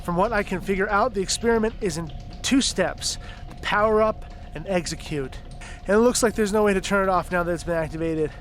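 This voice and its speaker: Guy acting a nervy sarcastic teenage boy, high pitched, audio drama, bit of music behind. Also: brisk, a bit bright. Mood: anxious.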